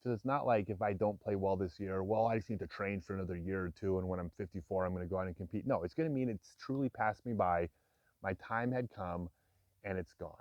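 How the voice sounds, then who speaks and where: A man in his fifties speaks frankly and reflectively to his viewers, mixing self-deprecating asides with earnest admissions. His voice sits low, close and dry, with a slightly dull, muffled sound. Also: steady tone, a little fast, a little mellow, no room sound. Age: 50s. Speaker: vlogger. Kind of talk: candid personal talk to camera.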